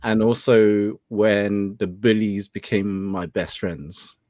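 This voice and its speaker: A man from England, whose was Cantonese. He hosts a casual talk show and speaks in a halting, reflective way, full of fillers. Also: lowish voice, steady tone, a little mellow, no echo. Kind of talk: casual conversation.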